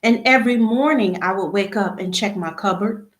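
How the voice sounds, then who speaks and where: A woman reading a children's picture book aloud, phrase by phrase, with expressive emphasis at the start of sentences. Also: mid-range voice, normal speed. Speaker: read-aloud storyteller. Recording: echoey room.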